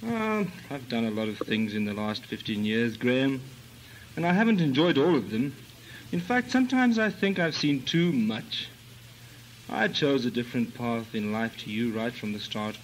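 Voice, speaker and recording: An actor playing a brooding long-lost cousin in a comic radio melodrama, speaking in a grandiloquent, theatrical way. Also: male voice, medium pitch, big pitch swings, moderate pace, a little hiss or hum, natural voice, poor audio.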